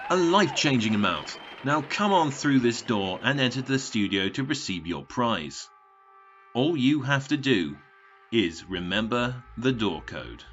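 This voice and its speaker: A man acts out a slick game show announcer in a radio play, smug and condescending. His fairly low voice swings widely with showman flourish, in a muffled recording with noise behind it. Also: big pitch swings, unhurried, fairly bright tone. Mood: slick, teasing. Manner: glib, theatrical, condescending. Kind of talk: showy TV presenter patter in audio drama.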